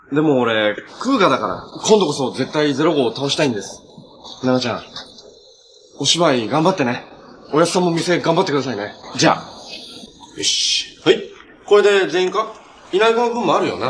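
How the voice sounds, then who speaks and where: A male actor plays a young adventurer hero from a TV superhero drama, friendly, gentle and resolute. His delivery swings freely in pitch as he talks in an earnest, casually polite way. A faint noise sits behind the dialogue. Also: lightly acted. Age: young adult. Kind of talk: live-action TV drama dialogue.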